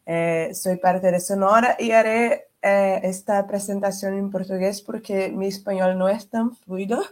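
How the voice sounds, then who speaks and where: A woman from Brazil presenting her network on a video call. She speaks slowly and carefully so that others can follow. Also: mid-range voice, steady tone. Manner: deliberately slow and careful. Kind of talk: presenting in a virtual meeting.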